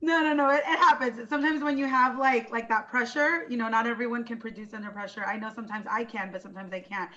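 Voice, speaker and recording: A bright, forceful woman's voice with a slightly dull, lo-fi sound. She hosts a casual interview, switching between English and Spanish with an upbeat, chatty manner. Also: on the high side, fairly even intonation, quick pace, few pauses. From Los Angeles, USA.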